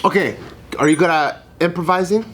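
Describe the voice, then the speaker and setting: A man's voice: high voice, a little fast, crowd noise. A man hosting a casual chat, asking playful, teasing questions. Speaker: host.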